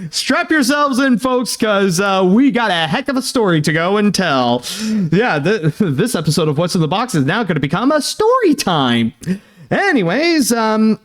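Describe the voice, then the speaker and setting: Young male host, slightly high voice, chatty and halting with lots of fillers, earnest fan-mail reader. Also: a bit bright. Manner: halting, filler-heavy, many "uh" and "like"; earnest, thanking and sympathetic. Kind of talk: casual chat reading fan mail.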